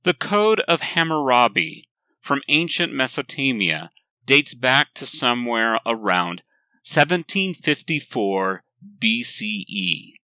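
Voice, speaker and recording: A man reading a scripted, lecture-like sermon in a measured, emphatic way with deliberate pauses. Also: medium pitch, big pitch swings, bright, forceful tone, clear, resonant tone, dry recording, dull audio. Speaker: reader of a written sermon.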